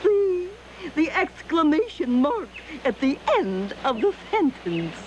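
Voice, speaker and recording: Excitable, wildly swooping little creature. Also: female voice, sits high, slow pace, full character voice. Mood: helpful, excited. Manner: explanatory, dramatically emphatic. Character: talking exclamation mark, excitable, helpful little creature. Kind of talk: children's educational puppet show acting. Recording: noisy old 1970s TV soundtrack.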